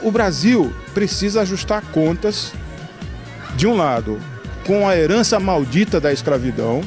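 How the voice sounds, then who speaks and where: A middle-aged man with a high voice for a man speaks emphatically, listing point after point and often tagging on "né?". He talks into a handheld mic in a large hall, with faint music behind him. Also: darkish tone. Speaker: interviewee. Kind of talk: interview, political commentary. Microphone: handheld reporter mic.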